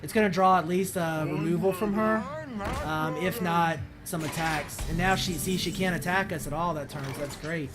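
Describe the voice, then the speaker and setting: A hoarse man with a voice that is not deep, commenting on his card game as he plays and thinking aloud with many ums and restarts. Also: moderate pace, loud music behind the voice. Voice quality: voice not very deep, sounds high-pitched. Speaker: streamer playing a card game. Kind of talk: casual gameplay commentary.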